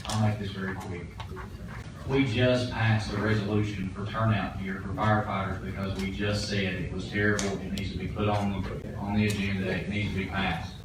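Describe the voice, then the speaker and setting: A man's voice: fairly low pitch, level tone, moderate pace. A man formally addressing the chair and members of a public meeting. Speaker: meeting speaker. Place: indoor public meeting room. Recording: very echoey room, far mic, noisy.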